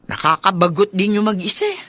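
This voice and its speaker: Lively-pitched, lonely aunt. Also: female voice, fairly quick, dry recording. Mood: inviting, wistful. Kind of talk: Filipino radio drama acting.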